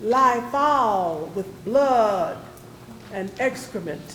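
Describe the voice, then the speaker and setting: A woman giving a solemn, deliberate, dramatic recitation of poetry in a readers theatre. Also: mid-range voice, lively intonation, a bit bright. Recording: echoey room with faint noise.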